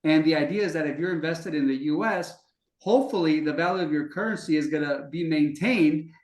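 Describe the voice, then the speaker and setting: A man's voice: fairly high pitch, brisk. A man co-hosting a podcast, talking fluently and confidently in long explanations. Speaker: podcast co-host, business development executive. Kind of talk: conversational interview. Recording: echoey room.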